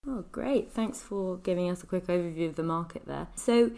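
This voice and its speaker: A woman's voice: fairly low pitch, normal speed, gravelly, dark tone. A woman hosting a podcast, introducing guests and asking interview questions.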